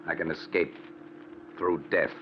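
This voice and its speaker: An actor playing a haunted, guilt-ridden man in a 1940s radio drama, intense and melodramatic, moving between hushed narration and frantic outbursts. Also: sits low, level tone, unhurried. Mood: grim, desperate. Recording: noisy vintage 1940s radio broadcast, dull.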